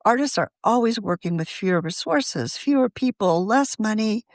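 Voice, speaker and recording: A woman reading a scripted magazine article aloud for a podcast in a measured, articulate way. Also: mid-range voice, animated, no room sound, clean studio sound. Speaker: magazine editor reading her own article.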